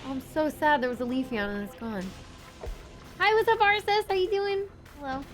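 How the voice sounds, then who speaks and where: A woman with a fairly high voice streams a game live, greeting viewers and reacting with bursts of excitement. Her voice sounds worn and tired. Music plays clearly behind her. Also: fairly quick. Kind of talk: casual live-stream commentary.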